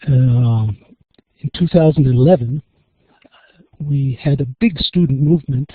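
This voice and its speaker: An American-accented man presenting in a webinar, measured and pausing with frequent fillers, on tinny phone-like audio. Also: mid-range voice, slightly rough, dry recording. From USA. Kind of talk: presentation talk.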